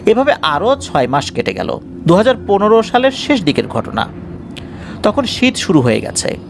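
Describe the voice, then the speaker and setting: A man's voice: high-pitched, huge pitch swings, moderate pace, vocal fry, noisy background. A man narrating a scripted first-person ghost story in a dramatic way.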